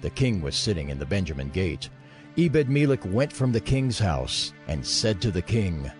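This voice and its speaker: A man's voice: sits low, big pitch swings, moderate pace, a little mellow, faint music behind. A man narrating scripture as part of a dramatized audio reading.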